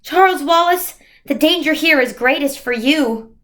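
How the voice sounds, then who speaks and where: A woman's voice: sits high, animated, measured pace, a bit bright, voice pushed up. A woman voicing a grave, protective female guardian being from a storybook, giving emphatic commands. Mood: grave warning.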